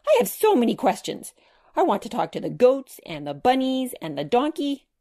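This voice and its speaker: A woman's voice: fairly high pitch, big pitch swings, moderate pace, voice pushed up. A woman voicing an eager, chatty little girl full of questions in a children's storybook. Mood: excited. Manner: bright-eyed, exclamatory, inquisitive.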